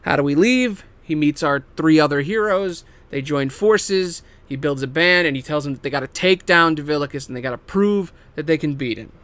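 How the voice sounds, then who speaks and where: A man giving a casual, semi-improvised story pitch as a host, emphatic and theatrical, swearing casually and dropping into offhand asides. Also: moderate pace, few pauses, fairly bright tone. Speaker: host pitching video game movie adaptations.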